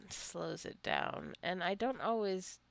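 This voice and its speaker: Woman doing a casual let's play, kinda low voice w lots of fry, rambles and talks to the characters. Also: huge pitch swings, slow, crowd noise. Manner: rambling, musing aloud to the game characters. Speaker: video game let's-play host. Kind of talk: casual gameplay commentary.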